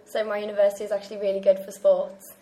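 A young woman talks in an easy, chatty way. She is a student and often breaks into a laugh. Her pitch sits in the middle for a woman. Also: completely flat delivery, rapid-fire, mellow. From Wales. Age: young adult. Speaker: university student. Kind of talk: casual chat.

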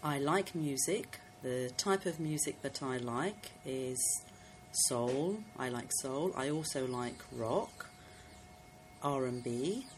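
Woman from london, really low voice, slow, bit of hiss. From London, England. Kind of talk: self-introduction.

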